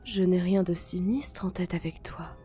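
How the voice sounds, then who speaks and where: A woman voicing a seductive, possessive spider-woman monster in an ASMR roleplay, coaxing softly and often whispering. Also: on the low side, faint music behind, close to an everyday voice. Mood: coaxing, menacing. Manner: breathy whispering, slow coaxing delivery.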